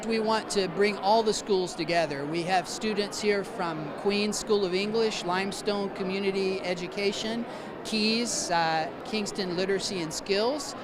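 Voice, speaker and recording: Measured ESL teacher over outdoor noise. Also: mid-range voice. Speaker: ESL teacher and event organizer. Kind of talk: interview.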